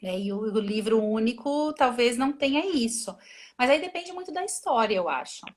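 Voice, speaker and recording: A woman's voice: fairly high pitch, expressive, normal speed. A woman translator, a guest on a live video stream, talking casually and thinking aloud with many restarts. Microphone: phone or laptop mic on a video call.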